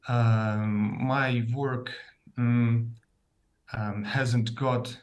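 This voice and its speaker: A man's voice: medium pitch, fairly even intonation, drawn-out words, darkish tone. A man from Russia with a Russian accent, a native Russian speaker, talking hesitantly about himself and searching for words. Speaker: club participant, learner. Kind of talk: casual talk about himself.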